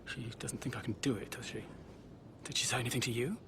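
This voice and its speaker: An actor playing an insecure, troubled grown son who speaks softly and hesitantly. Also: male voice, medium pitch, quick pace, background noise, lightly acted. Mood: anxious. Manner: soft, hesitant. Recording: loud music behind.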